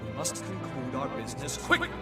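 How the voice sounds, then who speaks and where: An actor playing a flamboyant, grandiloquent hero-scholar in a dramatic video game. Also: male voice, mid-range voice, wildly swooping pitch, fairly quick. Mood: grandiose, purposeful. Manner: theatrical, grandiloquent, exclamatory. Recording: echoey, distant, under loud music.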